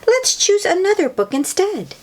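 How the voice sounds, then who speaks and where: A woman's voice: very high voice, sing-song, normal speed, voice pushed up. A woman voicing an eager, opinionated little girl pig from a storybook, pleading and insistent. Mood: bored, insistent.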